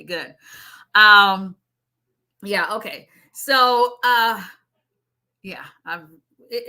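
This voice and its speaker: A middle-aged woman with a mixed Nigerian and Southern US accent, an immigration lawyer chatting on a live stream. She talks fast, with lots of asides. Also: on the low side, pushed and bright. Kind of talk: casual live-stream chat.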